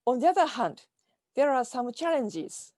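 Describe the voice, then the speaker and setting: A woman with a Japanese accent delivers a prepared conference keynote over a video call. Her voice sits a little high and moves with lively pitch, and her delivery is careful and measured. The sound is dry and close, with no room echo. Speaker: keynote speaker.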